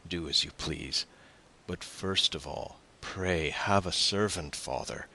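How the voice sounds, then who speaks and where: A man's voice: low voice, takes it slowly, sharp tone, slightly rough, exaggerated voice. A man voicing an eager, generous young sailor in an audiobook, speaking earnestly and with animation. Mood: caring, insistent.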